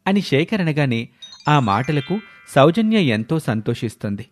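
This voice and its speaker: Lively male storyteller. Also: fairly high pitch, measured pace, a little hiss or hum. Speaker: story narrator. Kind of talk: scripted moral story narration.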